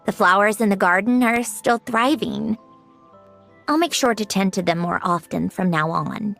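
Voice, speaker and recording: A woman voicing a proud, reserved young woman with a tender side, in measured, prim video game story acting. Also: medium pitch, creaky, a bit bright, soft background music. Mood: somber, tender.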